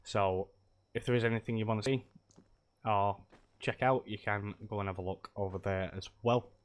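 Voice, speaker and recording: A man with a croaky voice from a cold, chatting casually and rambling as he streams himself playing a game at his computer. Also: on the low side, fairly even intonation, normal speed, slight background noise. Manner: rambling, loose, many hesitations. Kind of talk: casual live-stream chat while gaming.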